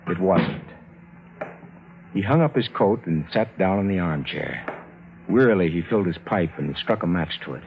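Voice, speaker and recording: A man narrating a 1950s radio drama with deliberate, dramatic phrasing and measured pauses. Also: sits low, animated. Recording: tinny band-limited old radio recording. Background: loud dramatic music.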